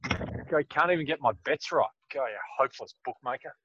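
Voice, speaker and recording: A man's voice: fairly high pitch, brisk, noisy background. A man co-hosting a casual podcast, dry and teasing, with a mild grumble. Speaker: podcast co-host. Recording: dry, dull lo-fi sound.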